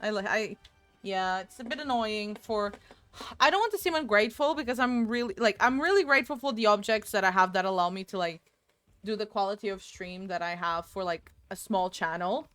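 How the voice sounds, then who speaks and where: A woman's voice: mid-range voice, a little fast, a bit bright, soft background music. A woman streaming a game from home into a desk microphone, chatting with viewers in a rambling, talkative way. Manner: chatty, rambling, answers chat aloud. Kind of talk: casual gaming stream chat.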